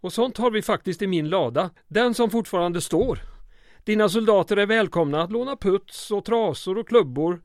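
A man's voice: sits high, no echo, studio quality. A man playing a flustered, wordy and evasive small-town mayor in an old-time radio comedy drama. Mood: smooth flattery. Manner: wordy, formal, stammering when flustered.

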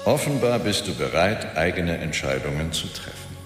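A man playing a gentle, all-knowing deity speaking from a statue in a fantasy radio drama, soft and soothing under reverb. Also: deep voice, animated. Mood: serene, knowing. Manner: measured, paternal. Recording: long echoing reverb, music behind.

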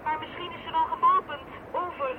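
A man's voice: very high voice, little pitch movement, a little fast, narrow, tinny audio. An actor playing a businesslike male police officer over two-way radio in a radio drama. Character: businesslike police officer in second patrol car. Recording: crackly, noisy, distant two-way radio.

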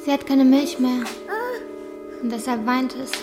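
High girlish voice young performer acting, worried insistent thirteen-year-old in a radio drama, faint music behind. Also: flat delivery, measured pace. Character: anxious, earnest, reproachful thirteen-year-old village girl.

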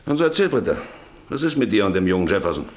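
A man's voice: on the low side, big pitch swings, racing. An actor playing a stern, domineering middle-aged farmer and father in a German radio drama, speaking in a commanding, insistent way.

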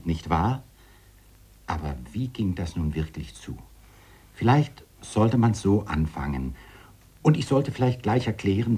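An actor playing a courteous, diplomatic science professor in a radio drama, speaking formally and with deliberate care. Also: male voice, low voice, dark tone, breathy edge, heavily acted. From Denmark. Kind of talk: 1970s radio drama acting.

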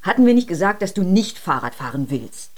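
A woman's voice: lowish voice, big pitch swings, fairly quick, a little mellow. An actress playing a worried middle-aged mother in a radio drama, coaxing and insistent, often ending on a questioning tag. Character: fussy, worried, gently prying mother.

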